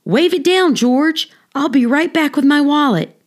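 A kindly grown man from a children's storybook, as a woman reader plays him, patient and reassuring. He talks in an unhurried way, taking his time over each phrase. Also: sits high, lively intonation, voice pushed up. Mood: urgent. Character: kindly, reassuring grown man looking after a pet monkey.

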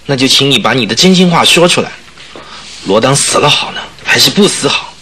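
An actor playing a scheming, indignant young circus performer in a Chinese radio drama, with an urgent, persuasive delivery. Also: male voice, mid-range voice, animated, fairly quick, sharp tone. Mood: probing. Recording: noisy old radio drama recording.

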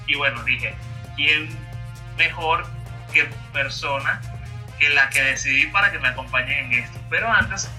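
Bright young Venezuelan man hosting. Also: fairly high pitch, measured pace, distant mic, soft background music, low-quality recording. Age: 20s. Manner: chatty, self-correcting, animated. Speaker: podcast host and radio announcer. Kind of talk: casual podcast chat.

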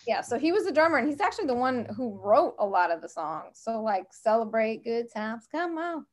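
A woman's voice: on the high side, very animated, fairly quick, a little hiss or hum. A middle-aged American woman from the West Coast chatting as a podcast guest, informally telling anecdotes with conversational asides. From United States, West Coast. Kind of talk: casual anecdotal chat.

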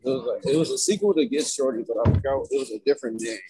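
A man's voice: mid-range voice, racing, mellow, a little hiss or hum, low-quality recording. A man in casual chat with friends, speaking hesitantly and trailing off.

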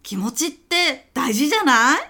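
Woman playing a bright, breezy lady boss. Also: sits high, lively intonation, moderate pace, gravelly, exaggerated voice. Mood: chatty, probing. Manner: casual, chummy boss talk that probes pointedly. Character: sly, breezy senior female client executive, testing a vendor. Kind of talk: comedy radio sketch acting.

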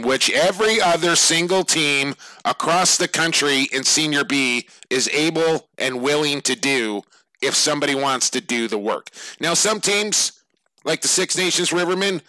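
A man with a slightly raspy, hoarse voice, hosting a casual sports talk show and rambling conversationally with lots of fillers. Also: fairly high pitch, pushed and bright, no room sound, rough recording. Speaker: lacrosse podcast host.

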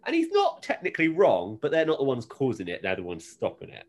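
Male podcast co-host, mid-pitched voice, informal and halting, walking through a plot conversationally. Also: huge pitch swings. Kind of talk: casual episode recap, conversational.

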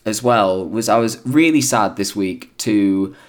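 A hoarse British man hosting a podcast, chatting casually and rambling with plenty of fillers and restarts. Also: on the low side, unhurried. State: hoarse, recovering from illness. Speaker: podcast host.

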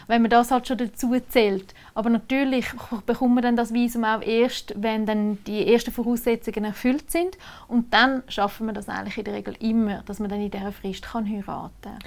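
Swiss German registrar, female, slightly high-pitched, patient explainer. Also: fairly even intonation, brisk. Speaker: civil registrar. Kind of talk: casual interview answers. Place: Switzerland.

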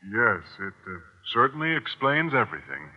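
An actor playing a mild, earnest male schoolteacher with a polite, halting manner in a 1950s radio sitcom. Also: mid-range voice, big pitch swings. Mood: mild, deflated. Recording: dull, hissy old radio recording.

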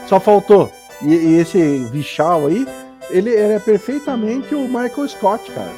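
A Brazilian man co-hosting a podcast, chatting in an animated way and breaking into loud exclamations. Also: sits high, measured pace, faint music behind. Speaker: podcast co-host. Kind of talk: casual podcast banter.

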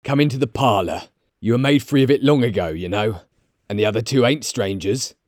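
A man's voice: medium pitch, a little fast, no room sound, studio quality, natural voice. A man voicing a sly, jovial shopkeeper of nearly seventy in a storybook, chatty and wry.

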